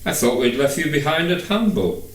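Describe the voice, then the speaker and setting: A man's voice: a little high, lively intonation, normal speed, voice pushed up, natural voice. A man voicing a brusque, impatient older knight who runs a flying circus, in an audiobook. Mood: brisk questioning. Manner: brusque, questioning, dismissive. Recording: echoey room, distant microphone.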